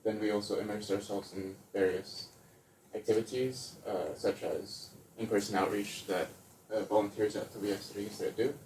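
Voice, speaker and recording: A young man from Lithuania giving part of a student presentation, with hesitant pauses. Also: fairly low pitch, fairly even intonation, a little mellow, slight background noise. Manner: quick overview, hesitant "uh" fillers. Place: lecture room. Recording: roomy echo, distant mic.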